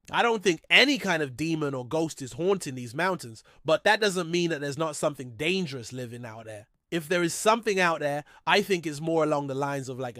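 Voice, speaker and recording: A man's voice: on the high side, fairly bright tone, no room sound, studio quality. A man hosting a mystery storytelling show in a conversational, informal and musing way, often posing rhetorical questions. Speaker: host of a mystery storytelling channel.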